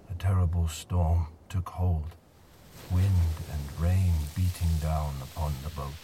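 An actor playing a weary old man telling a haunting tale in an audio drama, measured, hushed and solemn. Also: very deep voice, background noise. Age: older.

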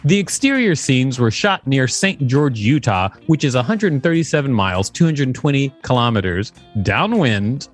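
Brief: male podcast co-host, mid-pitched, reads and riffs with humor, light music bed. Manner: joking, laughs often. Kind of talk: reading aloud and casual chat.

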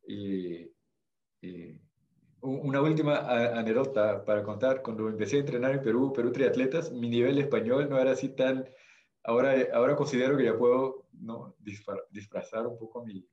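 A man's voice: medium pitch, moderate pace, a little mellow, highs cut off. A man with a Brazilian accent and Portuguese as his first language, telling stories casually as a podcast guest and often searching for words. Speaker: podcast guest, amateur triathlete. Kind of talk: casual conversational storytelling.

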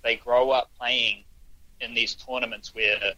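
A man's voice: mid-range voice, flat delivery, unhurried, pushed and bright, no echo, rough recording. A man, a sports journalist guest on a podcast, talking casually in short restarts. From New Zealand. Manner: thoughtful, talks in short restarts.

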